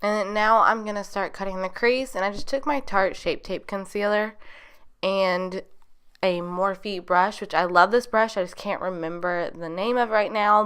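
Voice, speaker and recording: A woman's voice: lowish voice, fairly bright tone, clear, resonant tone. A young woman narrating a makeup tutorial in a chatty, rambling way, her voice a little nasal as she gets over a cold. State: getting over being sick. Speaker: beauty vlogger.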